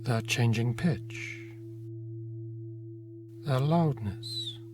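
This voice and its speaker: A man narrating a guided meditation in a gentle, unhurried manner, leaving long pauses between phrases. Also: medium pitch, background noise. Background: meditation music with singing bowl tones.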